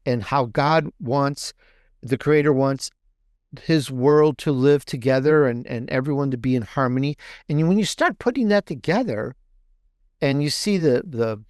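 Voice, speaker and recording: A man's voice: medium pitch, a little mellow, dry recording, studio quality. A man speaking as an interview guest in a casual, rambling storytelling way, often rephrasing himself mid-thought. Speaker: podcaster and author guest.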